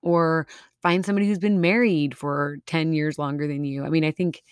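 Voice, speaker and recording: A woman's voice: low voice, steady tone, normal speed, clean tone, dry recording, clean studio sound. A woman from Minnesota with a Minnesota accent, co-hosting a faith podcast in an earnest, encouraging way. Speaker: podcast co-host. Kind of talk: casual faith podcast chat.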